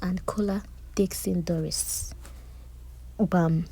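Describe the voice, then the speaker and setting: A woman gives a chatty, animated recap of a reality-show drama, with personal asides. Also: on the low side, slow, gravelly, husky. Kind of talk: casual recap commentary.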